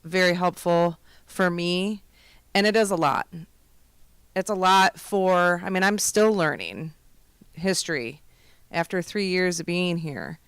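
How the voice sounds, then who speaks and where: A woman giving thanks at a public meeting, talking her points through out loud. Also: fairly low pitch, clear, resonant tone, no room sound. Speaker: local official thanking presenters. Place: township hall meeting room.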